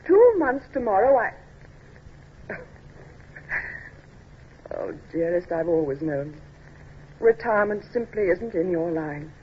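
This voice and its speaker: A woman acting a genteel, devoted English lady in an old-time radio drama, gracious and gently teasing. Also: lowish voice, big pitch swings, slow pace, pauses often. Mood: knowing, brave. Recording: muffled, hissy old radio recording.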